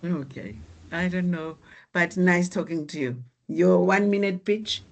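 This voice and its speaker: An unhurried course facilitator leads an online class and gives encouraging feedback. The speech moves slowly and deliberately, at a middle pitch, through a video-call microphone. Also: vocal fry.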